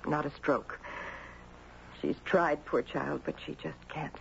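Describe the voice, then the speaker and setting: An actress playing a gracious, melancholy mother, speaking in a hesitant, measured and dramatic way in a 1970s radio drama. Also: low voice, creaky, full character voice. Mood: grave, haunted. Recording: dull noisy 1970s radio broadcast.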